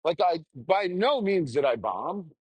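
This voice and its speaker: A young adult man, a stand-up comedian, rambling casually and candidly in a halting, self-reflective way with some casual swearing. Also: fairly high pitch, very animated, darkish tone, slightly rough, no room sound. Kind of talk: casual rambling monologue, audio journal.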